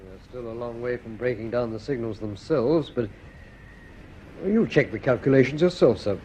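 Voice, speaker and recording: An actor playing a deferential, earnest young research astronomer in a vintage radio drama. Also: male voice, mid-range voice, moderate pace, a little mellow, slight background noise, lightly acted, poor audio. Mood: attentive, questioning.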